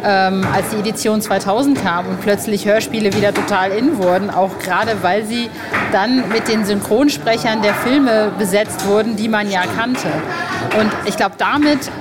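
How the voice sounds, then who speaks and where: A woman's voice: medium pitch, moderate pace, over loud music. A woman from Egypt hosting a casual podcast interview in an enthusiastic, slangy way. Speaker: podcast host.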